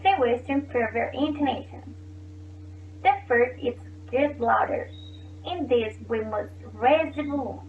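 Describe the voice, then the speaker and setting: High-voiced woman on a tinny line. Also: measured pace, soft background music. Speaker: student presenter. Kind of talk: reading a class presentation. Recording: tinny, roomy and distant.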